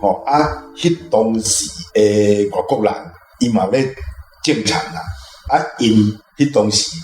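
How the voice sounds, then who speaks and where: A Taiwanese man asking questions in a casual book club discussion, phrasing them haltingly. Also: on the low side, darkish tone, echoey room, soft background music.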